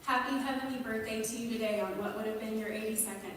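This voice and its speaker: A woman reading a prepared memorial tribute as a family member, in measured, listed phrases, recorded in a large indoor space. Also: a little high, steady tone, a bit bright. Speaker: family member giving a eulogy. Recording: echoey hall, distant mic, noisy.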